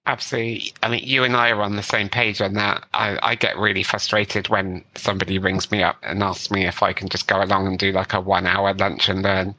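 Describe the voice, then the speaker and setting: A man's voice: sits low, little pitch movement, fairly quick, few pauses, bright, forceful tone, dry recording, poor audio. A man from the West Country with a neutral British accent, hosting a conversational podcast interview in a chatty way, with frequent restarts. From West Country, England. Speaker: podcast host.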